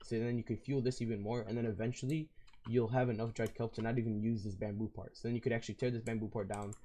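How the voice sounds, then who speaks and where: A hoarse man with a raspy voice, walking through a game build in a casual, rambling way. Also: medium pitch, level tone, quick pace, warm tone. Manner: casual and rambling, with fillers and self-corrections. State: hoarse, losing his voice. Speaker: video game tutorial creator. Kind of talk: casual step-by-step game tutorial.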